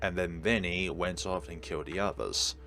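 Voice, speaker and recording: A man's voice: low-pitched, moderate pace, sharp tone. A man reading a visual novel aloud as a let's play host, slipping in wry asides, with music behind him.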